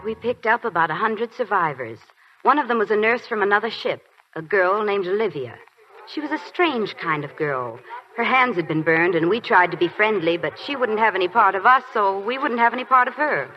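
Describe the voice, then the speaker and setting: An actress as a wry young Army nurse on old radio. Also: female voice, medium pitch, lively intonation, brisk, slight background noise, natural voice. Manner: dry, teasing wit. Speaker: Army nurse lieutenant and narrator. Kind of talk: 1940s radio drama acting. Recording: dull 1940s radio broadcast with faint music.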